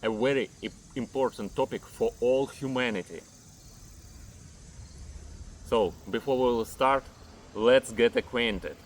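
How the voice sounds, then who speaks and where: A man in his thirties from Ukraine with a Ukrainian accent, speaking English as a second language, giving a measured fitness lesson to camera and listing items one by one. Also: mid-range voice, a little hiss or hum. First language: not English. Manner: measured, lists items one by one, non-native grammar. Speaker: fitness coach. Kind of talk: slow explanatory talk to camera.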